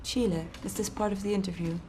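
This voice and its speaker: A woman's voice: mid-range voice, fairly even intonation, fast, background noise, natural voice. An actress playing a composed, defiant researcher being questioned in a naturalistic TV drama, her answers terse and cutting. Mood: guarded, terse.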